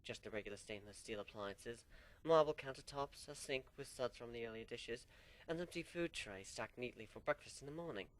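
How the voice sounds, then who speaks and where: A man narrating a fan story in the first person as an amateur dramatic reading, animated and sinking low for suspense. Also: brisk, rough recording. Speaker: story reader.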